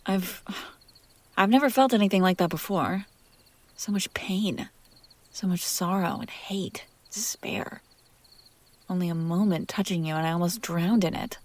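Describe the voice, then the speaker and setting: A woman's voice: fairly low pitch, unhurried, long pauses. A woman playing a possessive, sardonic and curious female demon in an intimate, wry audio roleplay spoken to the listener. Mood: shaken, hushed. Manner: teasing, wry, conversational, breaking into hushed whispers.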